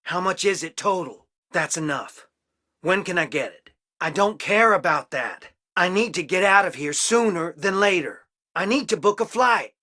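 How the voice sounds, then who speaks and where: A man's voice: high-pitched, expressive, normal speed, sharp tone, no room sound, clean studio sound, exaggerated voice. An actor playing a conflicted, wounded young man in a video game, speaking in an earnest, emotionally strained way. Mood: urgent, pleading. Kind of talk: dramatic video game dialogue.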